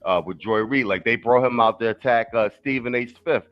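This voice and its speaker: A man's voice: medium pitch, fairly quick, lo-fi sound. A man hosting a casual stream, talking loosely and profanely with lots of restarts. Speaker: stream host. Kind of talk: casual talk-show chat.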